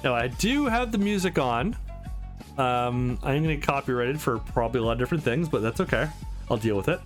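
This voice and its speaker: A man's voice: medium pitch, expressive, fairly quick, soft background music. A man hosting a let's play, chatting casually as he comments on a game. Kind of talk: casual game commentary, reading dialogue aloud.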